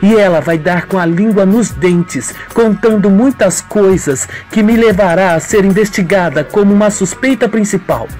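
A man's voice: high voice, moderate pace, few pauses, darkish tone, faint music behind, close to an everyday voice. A man voicing a scheming young woman who plays innocent, coy and slangy, in an audio soap opera.

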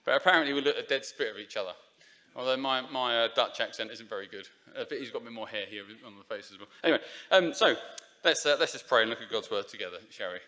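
A man's voice: medium pitch, quick pace, pushed and bright. A man, preaching an informal, chatty sermon at a church lectern, with many fillers and restarts. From Essex, England. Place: church sanctuary. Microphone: lectern microphone.

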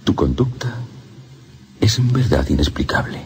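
An actor playing a stern, watchful abbot in a radio drama, preaching solemnly. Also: male voice, deep voice, big pitch swings, a little slow. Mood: grave, admonishing.

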